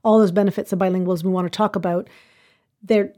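A woman's voice: fairly low pitch, fairly even intonation, quick pace, dark tone. A woman being interviewed, laying out her points in order in an earnest, explanatory way. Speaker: interview guest, language education expert. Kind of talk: measured conversational explanation.

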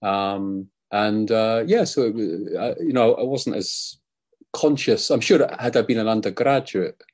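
A man's voice: fairly low pitch, a little slow, darkish tone. A man with a Scottish accent, an academic guest, speaking hesitantly in an interview with many fillers and restarts. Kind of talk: conversational podcast interview.

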